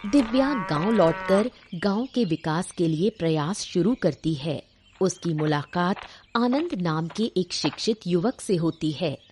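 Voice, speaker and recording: A woman's voice: fairly low pitch, expressive, measured pace, a little mellow, slight background noise. A woman delivering scripted narration for a story as its narrator.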